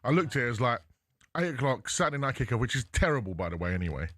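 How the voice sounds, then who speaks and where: A man hosting a radio phone-in, chatty and quick with banter for callers. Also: medium pitch, very animated, a bit bright, dry recording, clean studio sound. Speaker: radio presenter.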